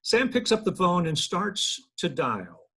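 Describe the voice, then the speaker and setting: A man reading the stage directions aloud at a table read in a plain, steady way. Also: sits high, measured pace.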